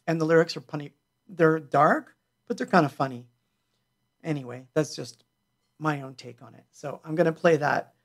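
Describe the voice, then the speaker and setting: A man's voice: on the high side, normal speed, long pauses. A middle-aged man hosting a livestream from his small home music studio, chatting loosely and joking while he answers viewer questions. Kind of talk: casual livestream chat. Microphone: headset mic.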